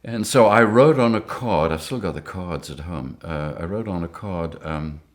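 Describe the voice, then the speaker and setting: An older man from Rhodesia, telling lively anecdotes in an interview and chuckling often. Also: deep voice, moderate pace. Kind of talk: storytelling interview.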